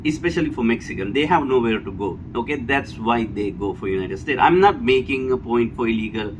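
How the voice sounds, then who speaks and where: Sri Lankan male vlogger, mid-pitched, blunt and emphatic off-the-cuff opinions, clear background hum. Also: brisk. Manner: emphatic, repetitive, blunt, often seeking agreement with tag questions. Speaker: vlogger giving personal opinion. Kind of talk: unscripted opinion monologue. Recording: clear steady background noise, air-conditioner hum.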